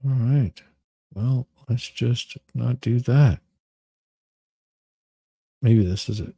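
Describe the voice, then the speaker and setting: A man hosting a livestream, moving between casual chat and mock-dramatic readings with theatrical, drawn-out emphasis. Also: mid-range voice, mellow, no room sound. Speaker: livestream host.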